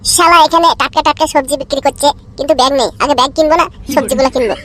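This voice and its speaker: A man voicing a hapless, placating poor husband in an amateur cartoon, with a pitch-shifted voice. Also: very fast, fairly bright tone, a little hiss or hum. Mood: grumbling. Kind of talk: amateur cartoon comedy dialogue.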